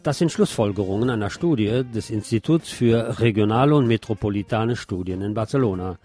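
A man's voice: on the low side, brisk, dark tone. A man presenting the news, reading scripted reports. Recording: music under the voice.

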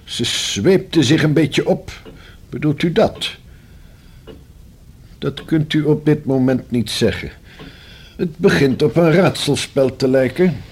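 A male actor in a Dutch radio play as a guarded, discreet professional man, speaking in a stiff, formal, measured way with a husky voice. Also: fairly high pitch, a little mellow, full character voice. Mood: reluctant, curt.

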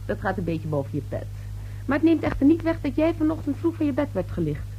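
An actress playing a brash, slippery young newspaper reporter in a radio play, glib, insistent and patronizing. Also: female voice, high voice, very animated, quick pace, highs cut off. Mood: pushy, condescending. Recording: noisy old radio drama recording.

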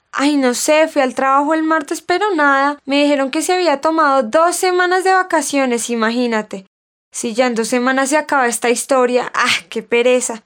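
A woman plays a dramatic young friend in a radio soap. She is chatty and whiny, with a high-pitched voice. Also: a bit bright.

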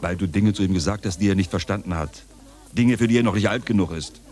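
A man's voice: low voice, fast, darkish tone, natural voice. A man playing a theatrical, exasperated uncle in a radio drama, declamatory and dry by turns. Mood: dry, evasive. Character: theatrical, exasperated uncle saddled with his niece.

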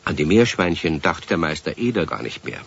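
A man narrating a children's radio play as a leisurely storyteller, in a deep, husky voice. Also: very animated, fairly quick, a bit bright, slight background noise, full character voice. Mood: storytelling. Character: calm, leisurely male storyteller. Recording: muffled old 1970s radio recording.

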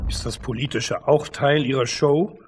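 Actor as provocative interviewer, man, medium pitch, challenging, sceptical, radio play, slight noise. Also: fairly even intonation, normal speed, close to an everyday voice. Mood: needling. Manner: needling, challenging. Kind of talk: radio drama dialogue.

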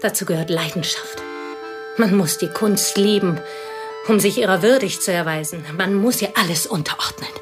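An actress in a radio play playing a bitter, possessive spinster, speaking in intense, deliberate short phrases with a breathy voice. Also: female voice, mid-range voice, music in the background, lightly acted. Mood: intense, defensive. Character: bitter, possessive spinster devoted to her girlhood friend. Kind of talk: radio play acting in German.

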